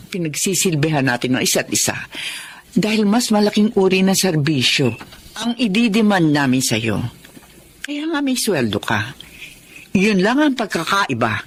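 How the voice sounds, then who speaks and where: A woman's voice: lowish voice, slightly rough, heavily acted. A woman acting a firm but kindly lady of the house in a Filipino melodrama, speaking instructively.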